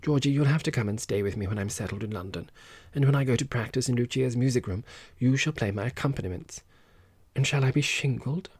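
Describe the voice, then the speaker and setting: A man's voice: medium pitch, a little fast, a little mellow, slightly rough, no room sound, voice pushed up. A man voicing an exuberant, playful woman opera singer who talks in teasing exclamations, in an audiobook. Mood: teasing, excited. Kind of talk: audiobook character voice.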